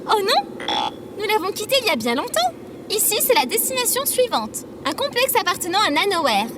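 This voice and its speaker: A small female robot companion with an extremely high voice. She is prim, formal and easily exasperated, swinging animatedly in pitch as audio drama dialogue. Clear noise sits behind her. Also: big pitch swings, a little fast. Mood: indignant, explaining.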